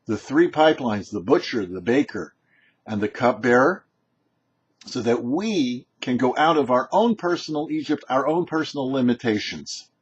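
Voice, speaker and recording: A man presenting a short religious teaching as a rabbi, explaining deliberately with emphatic pauses. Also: medium pitch, husky. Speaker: rabbi presenting a weekly Torah portion show. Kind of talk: short religious teaching, read to camera or mic. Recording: dull, muffled lo-fi sound.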